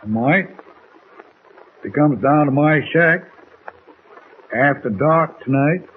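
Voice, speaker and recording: An actor playing a shady, insolent jungle trader with folksy, ungrammatical speech in a 1940s radio drama. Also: male voice, a little high, expressive, slow. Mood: cagey, insolent. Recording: tinny, noisy 1940s radio recording.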